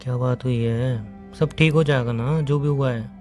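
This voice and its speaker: A man's voice: medium pitch, steady tone, normal speed, a little mellow, soft background music, close to an everyday voice. A young man acting a patient, teasing boyfriend in a phone-call skit, speaking in a caring, coaxing way. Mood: soothing, concerned. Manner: coaxing, playful, soothing. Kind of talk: acted romantic comedy phone-call skit in Hindi.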